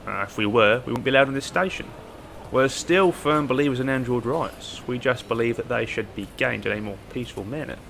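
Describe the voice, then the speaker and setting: A man playing an earnest, polite activist with a gravelly voice in a sci-fi audio drama. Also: medium pitch, brisk, a bit bright, slight background noise. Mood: reassuring. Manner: polite, explanatory. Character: earnest, soft-sell male android-rights activist.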